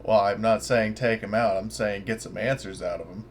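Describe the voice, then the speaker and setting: Casual low-voiced man playing a tabletop game. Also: steady tone, normal speed. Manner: informal, sweary. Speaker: player in a tabletop roleplaying game. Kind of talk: casual online game session talk. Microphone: online voice chat mic. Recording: faint noise on a home mic.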